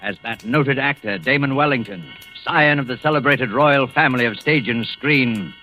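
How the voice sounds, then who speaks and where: A man's voice: mid-range voice, sharp tone, close to an everyday voice, poor audio. Man as formal mystery-radio host over prominent music. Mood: solemn, dramatic. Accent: American. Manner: formal, deliberate, dramatic. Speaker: host introducing a radio drama. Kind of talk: 1940s radio announcing. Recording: vintage 1940s radio, music bed.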